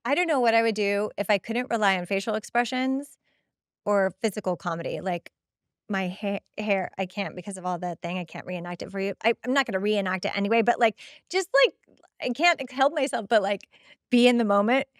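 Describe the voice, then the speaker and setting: A woman's voice: medium pitch, brisk, no echo, studio quality. A woman hosting a podcast, giving a casual, chatty and emphatic recap of a TV episode. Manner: expressive, emphatic, chatty, acts out quoted dialogue. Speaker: podcast host.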